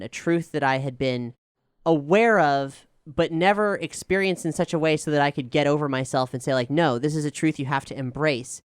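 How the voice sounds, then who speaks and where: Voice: mid-range voice, clear, resonant tone, no echo, studio quality. A showrunner and cast member telling stories in a reflective, expansive way on a casual Q&A panel. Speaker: showrunner, writer and cast member of an audio drama.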